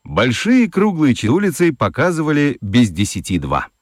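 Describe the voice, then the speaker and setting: A man narrating a children's radio play with expressive storytelling. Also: medium pitch, normal speed, no room sound, clean studio sound.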